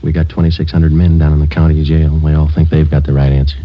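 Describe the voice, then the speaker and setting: A deadpan, very deep police sergeant. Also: male voice, quick pace. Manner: clipped, flat, matter-of-fact. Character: terse, deadpan male police sergeant narrating. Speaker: detective narrator. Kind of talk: 1950s radio drama acting. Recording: dull old radio broadcast with music.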